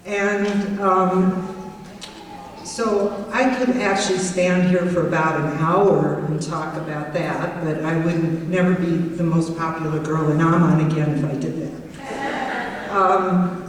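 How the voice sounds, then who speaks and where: A woman's voice: lowish voice, distant mic. Woman telling stories in an echoey hall. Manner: warm storytelling with self-deprecating humor. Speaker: judge giving a tribute speech. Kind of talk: banquet tribute speech. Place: hotel banquet hall. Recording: echoey hall recorded from afar.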